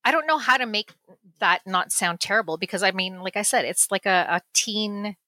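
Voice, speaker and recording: Chatty, bright-voiced mother reviewing a movie. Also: medium pitch, normal speed, no echo. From United States. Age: adult parent. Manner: chatty, laughing, many restarts. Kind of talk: casual movie chat.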